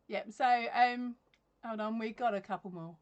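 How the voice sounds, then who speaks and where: Chatty woman, fairly high voice. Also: muffled recording. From England. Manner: chatty, laughs often, many ums and restarts. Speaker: crochet livestream host. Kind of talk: casual live-stream chat reading comments. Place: craft room at home with yarn shelves. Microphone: webcam at desk.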